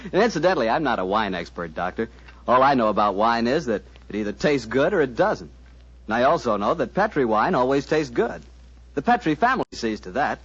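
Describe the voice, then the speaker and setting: A man's voice: mid-range voice, very animated, fairly quick, a bit bright, breathy edge. An American man hosting and announcing a 1940s radio show, chummy and folksy with a salesman's delivery. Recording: muffled 1940s radio recording.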